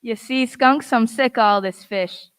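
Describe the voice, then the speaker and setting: A woman's voice: a little high, measured pace, smooth, natural voice. A young woman playing a pirate sailor in an amateur scripted radio ad. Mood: grumbling. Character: grumbling pirate sailor fed up with fish.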